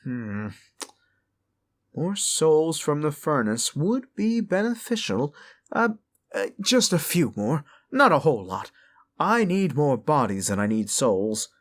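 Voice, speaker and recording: A man's voice: fairly high pitch, very animated, takes it slowly. A man voicing a polite, scheming lich necromancer in a fantasy audio roleplay, genteel yet commanding and wheedling. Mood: pondering.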